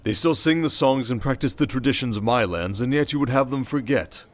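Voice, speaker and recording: A man playing a shrewd, confident king in an ASMR roleplay drama, speaking in a measured, wry and protective way. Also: medium pitch, close to an everyday voice. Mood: indignant.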